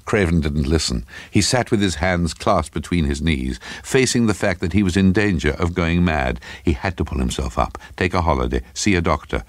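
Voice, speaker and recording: A man narrating a dark literary story in a dramatic, scripted storytelling style. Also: low voice, lively intonation, moderate pace, hardly any pauses, dry recording.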